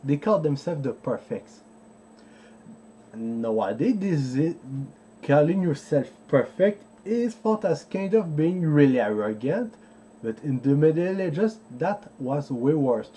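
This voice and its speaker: A man, an English speaker, hosting an informal history explainer in a halting, digressive way as he searches for words. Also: fairly high pitch, very animated, mellow, a little hiss or hum, poor audio. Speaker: host of a history video series. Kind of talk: informal explainer with live translation from a book.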